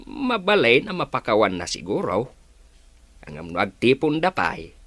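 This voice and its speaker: A man's voice: mid-range voice, huge pitch swings, moderate pace, fairly bright tone. A man with an Ilocano accent acting a skeptical, doubting village husband in a radio drama.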